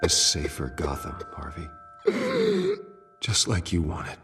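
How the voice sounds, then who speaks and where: A man's voice: a bass voice, takes it slowly, gravelly, heavily acted. A man acting a grave, steadfast wealthy hero in a video game drama, reasoning earnestly. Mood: solemn, steady. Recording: loud music behind the voice.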